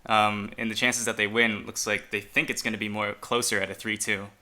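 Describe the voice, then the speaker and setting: A man's voice: fairly low pitch, flat delivery, brisk, sharp tone. A man whose first language is Chinese, casting an esports match in a casual, chatty way and announcing players one by one. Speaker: esports caster. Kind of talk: fan esports commentary.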